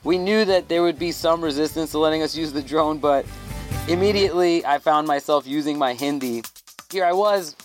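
Easygoing storytelling man, slightly high. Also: moderate pace, faint music behind. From Thailand. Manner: animated, loose, with fillers. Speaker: podcast guest. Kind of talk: casual storytelling.

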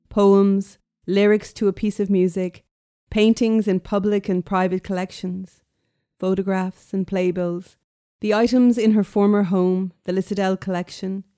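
A woman narrating a documentary in a calm, scripted delivery. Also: medium pitch, smooth, dry recording, clean studio sound.

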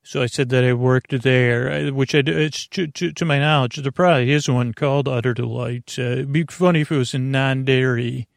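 A man hosting a podcast in a casual, meandering monologue, halting often and trailing off mid-thought. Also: mid-range voice, fairly even intonation, gravelly, no echo, clean studio sound. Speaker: podcast host.

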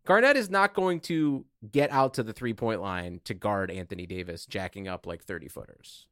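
A man's voice: lowish voice, normal speed, fairly bright tone, dry recording, clean studio sound. Sarcastic man bantering on a sports podcast. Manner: sarcastic, swearing. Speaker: podcast co-host.

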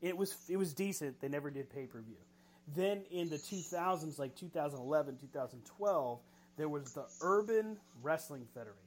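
A man's voice: a little high, lively intonation, a little fast, a little hiss or hum. A middle-aged man co-hosting a casual chat, laughing often and swearing casually. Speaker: podcast co-host. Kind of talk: casual chat about wrestling.

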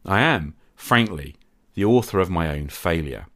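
Low-voiced man, candid monologue. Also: expressive, loud music behind the voice. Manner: measured, candid and self-critical. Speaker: podcast host. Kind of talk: reflective scripted monologue.

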